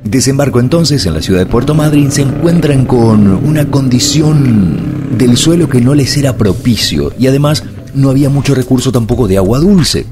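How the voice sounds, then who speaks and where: A man's voice: mid-range voice, a little mellow. A middle-aged man, narrating a road-trip travel video and explaining local sights one after another. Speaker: travel vlogger.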